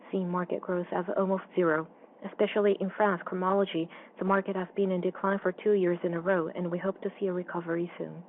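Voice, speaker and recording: A woman interpreting a formal financial results presentation on a conference call in an even, businesslike manner. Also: lowish voice. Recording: dull, slightly noisy call audio.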